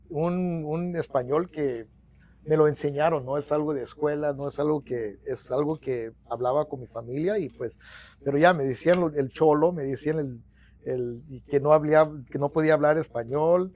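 A middle-aged man with a Mexican-American accent speaks on a lapel mic in a small office. His voice sits a little high and carries a gravelly edge. He tells his stories in Spanish, drops English words in, and restarts his phrases often. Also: dull audio. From United States. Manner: mixes English into Spanish, many restarts, animated hand gestures. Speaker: union organizer guest. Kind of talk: storytelling interview.

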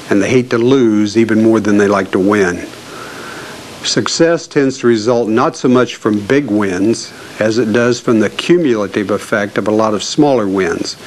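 Male management expert, smooth prepared commentary, low pitch, light hiss. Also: rough recording. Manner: measured, fluent delivery.

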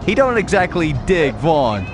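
An actor playing a streetwise male police contact in a video game, talking in a colloquial, animated way. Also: a little high, unhurried, music in the background. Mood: matter-of-fact.

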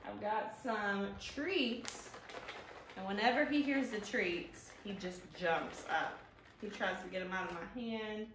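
Lady doing storytime for little kids, gentle singsong talk, takes her time, roomy echo. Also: mid-range voice, noisy background. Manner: sing-song, drawn-out, gentle child-directed talk. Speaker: library storytime host. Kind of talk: children's storytime, reading and chatting to young viewers. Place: living room, indoors, on a couch. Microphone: camera a few feet away. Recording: roomy echo from camera distance.

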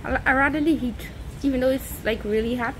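A woman co-hosting a casual cooking chat, playful and quick to laugh. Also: sits high, slight background noise. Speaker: co-host.

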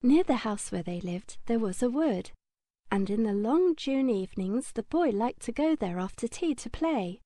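A woman narrating a children's audiobook in a gentle, measured storytelling manner. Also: a little high, expressive, no echo, studio quality.

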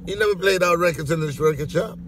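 A man's voice: high voice, fast, crowd noise. An older man, a veteran singer, chatting bluntly in a parked car, repeating himself and cursing, recorded on a phone. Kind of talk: casual reminiscing chat. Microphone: phone held by passenger. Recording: phone in car, audible noise.

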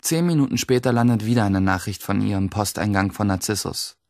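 A man acting a stressed, secretive teenage schoolboy narrating his own life in a radio play, with a husky, gravelly voice. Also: lowish voice, a little fast, no room sound. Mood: brooding, secretive. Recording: dry studio, faint music behind.

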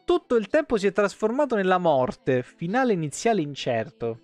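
A man's voice: high-pitched, sing-song, moderate pace, darkish tone. A man, doing irreverent, sweary live game commentary and reading lore aloud with casual asides. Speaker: game streamer. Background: game music.

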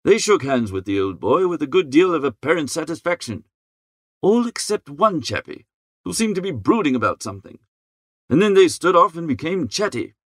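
A man reading a comic story in the first person, chatty and wry, in the manner of an idle gentleman. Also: fairly high pitch, very animated, normal speed, no room sound, clean studio sound. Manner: chatty, wry upper-class idler's phrasing. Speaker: audiobook narrator.

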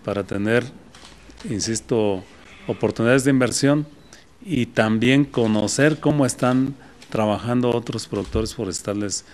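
A man in his sixties with a Mexican Spanish accent, a state forestry official speaking at a press conference in a measured way with some restarts, recorded on desk microphones indoors. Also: mid-range voice, darkish tone. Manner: measured, repeats himself, brief restarts.

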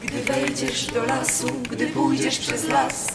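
An actress playing an eerie, mournful forest enchantress in a radio drama, chanting luring verse in a singsong, half-sung voice. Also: female voice, sits high, little pitch movement, slow pace, a loud crowd. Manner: incantatory, singsong. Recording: echoing, distant, loud music behind.

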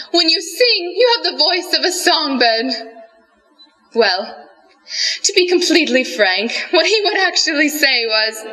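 A grandiose society matron on the comedy stage. The voice is very high and the diction is affected and flowery, full of genteel self-regard. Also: female voice, sharp tone, exaggerated voice, low-quality recording. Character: pompous, genteel society lady, self-regarding amateur singer. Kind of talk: comic stage monologue. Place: school theatre stage before an audience. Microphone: vintage-style stand microphone.

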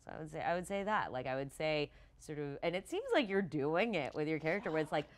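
A woman in her thirties talking casually as a guest on a gaming discussion show, rambling and animated, often saying "like" and "you know". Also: low voice, fast. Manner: rambling, animated, peppered with 'like' and 'you know'. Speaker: tabletop game player, guest on a discussion show. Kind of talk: casual chat. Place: TV-style studio set.

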